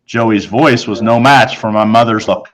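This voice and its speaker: A man's voice: fairly low pitch, normal speed, slight background noise. A man reading a children's novel aloud as its first-person narrator. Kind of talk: read-aloud story narration.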